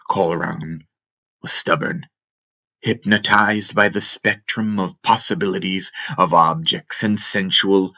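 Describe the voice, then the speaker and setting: A man with a low, bright and forceful voice narrates a fantasy audiobook. He tells it solemnly and deliberately, placing dramatic weight on key words. His delivery is very unhurried.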